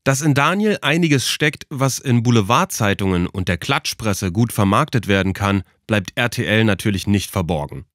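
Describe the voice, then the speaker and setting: A man's voice: lowish voice, lively intonation, moderate pace, hardly any pauses, fairly bright tone, no room sound, clean studio sound. A man hosting and narrating a documentary podcast from a script.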